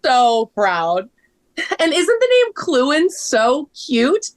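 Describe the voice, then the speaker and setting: A woman acting a bubbly, excitable young wife in a scripted table read. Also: sits high, extremely slow, full character voice. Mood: bubbly excitement.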